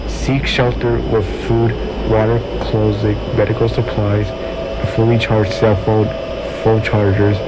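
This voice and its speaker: Low, flat emergency announcer played by a man. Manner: formal, flat reading. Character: grave male emergency alert announcer in disaster scenario. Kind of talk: scripted emergency broadcast announcement. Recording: dull lo-fi audio with loud noise.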